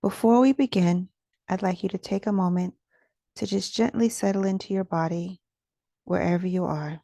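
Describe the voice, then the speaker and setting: A woman leading a guided meditation as its teacher, speaking in a gentle, soothing, instructional manner with soft pauses. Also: medium pitch, no echo, clean studio sound.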